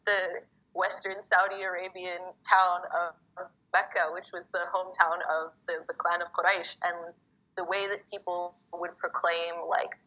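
A young woman from Egypt, a poet guest, talking casually and quickly with lots of "like" fillers. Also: lowish voice, little pitch movement, sharp tone. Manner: fast, chatty, many fillers like "like". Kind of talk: casual conversation. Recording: muffled phone-like call audio.

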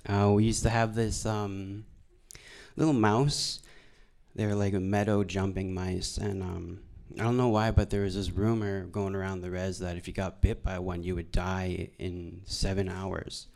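A young man tells stories as a stand-up comic. His voice is on the low side and very clear and smooth. He speaks haltingly and deadpan, putting himself down as he goes, into a stage microphone. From Alberta, Canada. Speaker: stand-up comedian. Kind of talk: live stand-up storytelling. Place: live venue stage before an audience.

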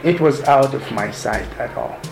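Gravelly man in an echoey room. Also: medium pitch, normal speed, over music. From Sudan. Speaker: interviewee. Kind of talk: casual personal recollection. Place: room in a mosque. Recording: echoey room with ambient noise.

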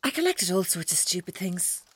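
An actress playing an intense, coolly menacing woman in a radio drama, speaking in a deliberate, cryptic, taunting way. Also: lowish voice, very animated, slightly rough. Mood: cryptic, detached. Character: intense, coolly menacing woman named Kerry, toying with a one-night stand.

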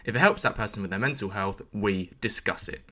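A man's voice: lowish voice, brisk, very noisy. A man hosting an interview podcast, speaking in an informal, chatty register. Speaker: podcast host. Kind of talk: scripted intro and casual interview.

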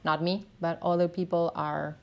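A Spanish woman with a Spanish accent, a native Spanish speaker, chatting as a guest in a casual interview, self-deprecating and quick to laugh. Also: fairly low pitch, level tone, creaky, dull audio. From Spain. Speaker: artist and researcher guest.

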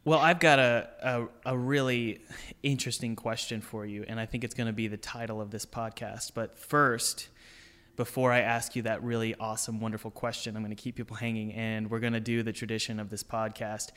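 A man's voice: mid-range voice, steady tone, brisk, fairly bright tone. A man hosting a podcast, chatting casually and enthusiastically with his guest. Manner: enthusiastic, chummy, says dude. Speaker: podcast host. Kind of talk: casual interview chat.

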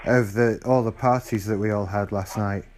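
A man chatting casually on a phone call, acting slow, apologetic and easily confused. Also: fairly low pitch, fairly even intonation, crowd noise. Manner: feigned slow, apologetic and muddled. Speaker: call recipient stringing a scammer along. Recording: phone call recording with faint noise.

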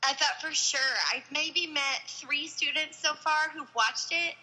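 A young woman in casual conversation as a podcast co-host, chatty and quick to laugh. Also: sits high, steady tone, sharp tone, poor audio. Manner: giggly, chatty, trails off into laughs. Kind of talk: casual chat.

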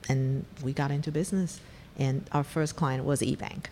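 A woman's voice: a bass voice, fairly even intonation, moderate pace, darkish tone, big-room sound. A middle-aged woman from Taiwan, a venture investor telling her startup story in an interview, candid and blunt. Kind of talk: conversational interview.

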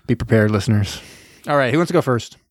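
A man's voice: medium pitch, fast, dry recording. Joking male podcast host, dry sound. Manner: joking, laughs often, steers the talk. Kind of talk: casual movie podcast chat.

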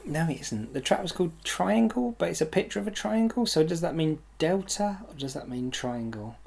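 Gravelly man joking between songs. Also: fairly high pitch, expressive, moderate pace, a little mellow. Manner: jokey, laughing, tipsy banter. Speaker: radio show co-host. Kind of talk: casual chat between music tracks.

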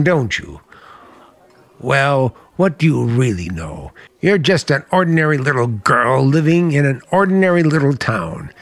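An actor playing a charming but menacing uncle in a radio play, suave and deliberate with a bitter, contemptuous edge. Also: male voice, fairly high pitch, a bit bright, dry recording, full character voice. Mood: cold scorn. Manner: suave, deliberate, turning to cold disdain. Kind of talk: dramatic radio play acting.

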